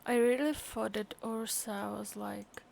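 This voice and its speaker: A woman live-streaming a game, giving casual, halting commentary as she thinks aloud. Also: on the high side, fairly even intonation, creaky, a little mellow, a little hiss or hum.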